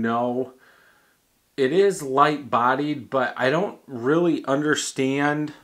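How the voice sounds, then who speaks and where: A man in his thirties giving a casual beer tasting review at a home bar, thinking aloud with halting restarts. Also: medium pitch, a bit bright. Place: home bar in front of a banner.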